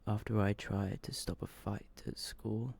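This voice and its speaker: A man softly reading short horror stories in an ASMR style, slow and hushed, often slipping into a whisper. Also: on the low side, steady tone, creaky, slightly rough. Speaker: ASMR video creator and host.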